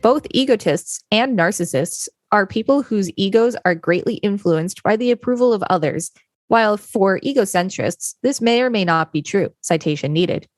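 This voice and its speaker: A woman's voice: medium pitch, lively intonation, normal speed, dry recording, faint music behind. A woman co-hosting a podcast, narrating an encyclopedia article read straight from the text.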